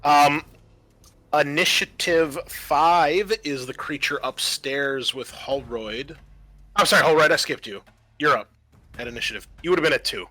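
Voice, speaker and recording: A man's voice: fairly high pitch, measured pace, pushed and bright, dry recording. A man speaking casually as game master of a tabletop roleplaying game, swearing freely.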